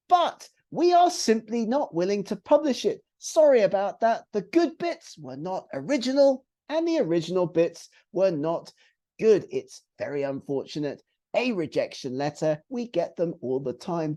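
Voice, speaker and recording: A British man leads an online writing workshop, his voice rising and falling with animated emphasis. His tone is mellow and soft rather than bright. He talks like an eager teacher in an upbeat manner. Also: high-pitched. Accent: British English. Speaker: webinar trainer. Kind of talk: presenting a live online writing webinar. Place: home or office, on a video call.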